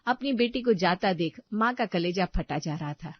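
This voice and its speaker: A woman's voice: lowish voice, lively intonation, normal speed, muffled recording. A woman narrating a scripted family story as a storyteller.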